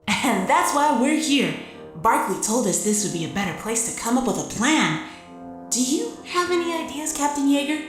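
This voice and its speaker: A woman plays a towering robot heroine in an audio drama, echoing over clear music. Her voice is lively and fairly high, courteous but firmly determined. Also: moderate pace. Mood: polite. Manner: polite, assertive. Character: huge seven-foot robot woman, polite, determined, heroic. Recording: roomy echo, music behind.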